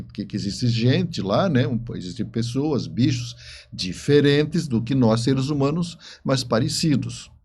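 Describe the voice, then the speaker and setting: A middle-aged man with a southern Brazilian accent and a soft, dark tone, telling stories as an interview guest. He halts and repeats words as he goes. There is some steady noise behind him. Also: mid-range voice. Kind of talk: conversational storytelling.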